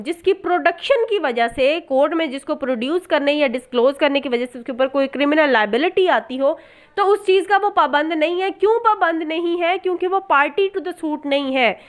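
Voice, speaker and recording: A woman's voice: high voice, expressive, normal speed. A woman giving an explanatory law lesson in a didactic way, asking and answering her own questions and mixing in English terms. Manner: code-switches into English terms. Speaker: law lecturer.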